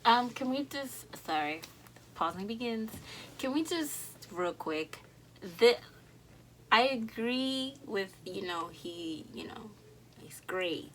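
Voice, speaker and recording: A woman's voice: a little high, animated, takes it slowly, a bit bright, a little hiss or hum, rough recording. A young woman with a Dominican accent, chatting casually to her viewers as a reaction host, rambling with fillers and restarts.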